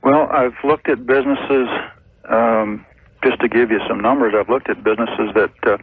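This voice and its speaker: A man calling a radio advice show, speaking casually in a hesitant, halting way. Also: medium pitch, steady tone, a little hiss or hum. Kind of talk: casual phone conversation. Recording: tinny phone line on taped radio broadcast.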